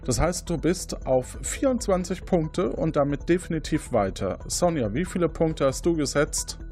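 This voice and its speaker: A man's voice: a little high, expressive, normal speed, darkish tone, soft background music. A man hosting a light quiz show, addressing the contestants conversationally. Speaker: quiz host.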